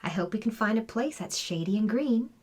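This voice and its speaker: A woman's voice: fairly high pitch, expressive, brisk. A woman reading a storybook, voicing a kindly schoolteacher who encourages her class. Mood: wistful, hopeful. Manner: encouraging, addressing a class of children.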